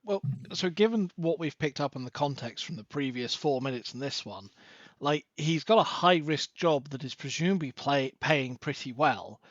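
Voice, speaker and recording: A man guest on a film podcast, talking in a casual, lively way. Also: fairly high pitch, moderate pace, no echo. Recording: dull lo-fi mic.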